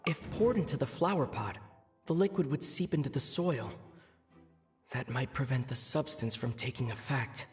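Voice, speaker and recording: Calm game investigator, played by a man: mid-pitched, methodical, analytical, with faint music behind. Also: moderate pace, natural voice. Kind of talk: video game voice acting.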